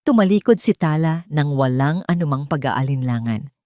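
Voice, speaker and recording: Woman, Filipino accent, lowish pitch, dry close sound, dramatic scripted story narration. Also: expressive, moderate pace, mellow, dry recording.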